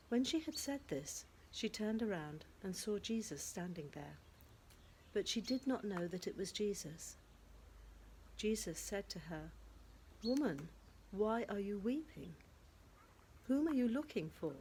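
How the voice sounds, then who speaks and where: A woman reads scripture aloud with deliberate care, at a middling pitch. Faint birdsong and ambient noise sit behind her voice. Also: darkish tone. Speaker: Bible reader. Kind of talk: scripture reading.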